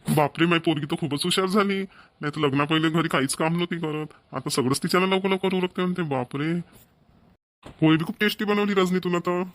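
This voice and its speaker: A man's voice: on the high side, brisk, a bit bright, close to an everyday voice. A man playing a doting, proud middle-aged village father in a cartoon, with a rural Maharashtrian Marathi accent and earnest, homely manner. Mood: impressed. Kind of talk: Marathi cartoon family comedy voice-over.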